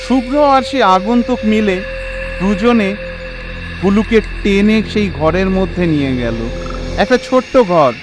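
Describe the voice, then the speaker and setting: A man narrating a scripted horror story in an expressive, suspenseful, dramatic way. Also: high-pitched.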